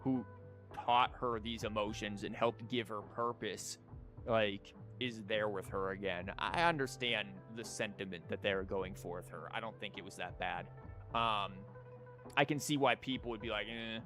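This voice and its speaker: A man's voice: fairly low pitch, moderate pace, bright, forceful tone, faint music behind. A man giving casual livestream commentary on a game, rambling informally and thinking aloud with false starts. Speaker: video game streamer.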